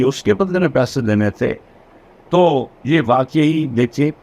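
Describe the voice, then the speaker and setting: A man giving a recorded law lecture. He reads English passages slowly and then restates them in his own words. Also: fairly high pitch.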